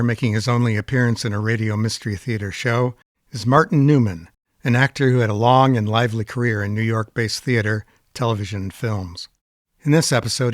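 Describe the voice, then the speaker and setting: A man presents a scripted introduction. His voice sits somewhat low, and the recording is dry, with no room around it. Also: no echo. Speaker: presenter of archive radio episodes.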